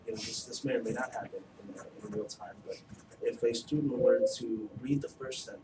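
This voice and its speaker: A man co-leading a staff training session, explaining the scoring in a measured way and correcting himself as he goes. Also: medium pitch, fairly even intonation. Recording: distant, echoey, noisy room.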